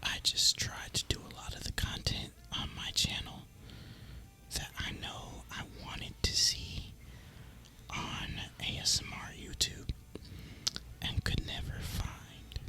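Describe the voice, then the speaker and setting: A man speaking in a breathy, unvoiced whisper, rambling casually and in a relaxed way as he hosts an ASMR gaming video. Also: takes it slowly.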